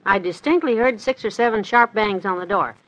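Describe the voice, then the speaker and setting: A woman playing a sensible, patient, motherly wife in 1950s radio comedy, speaking in a matter-of-fact, gently firm way. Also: medium pitch, a little fast, close to an everyday voice, low-quality recording. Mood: puzzled, insistent.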